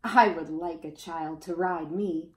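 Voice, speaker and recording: Woman as a gentle, wistful little pony in a roomy space. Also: on the low side, fairly even intonation, slow. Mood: wistful, musing.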